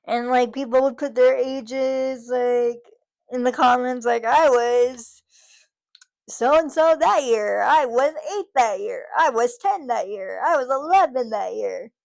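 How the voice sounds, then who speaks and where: Woman, 30s, vlogger, casual rambling monologue, slightly high voice, unhurried delivery. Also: vocal fry. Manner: rambling, many fillers and restarts.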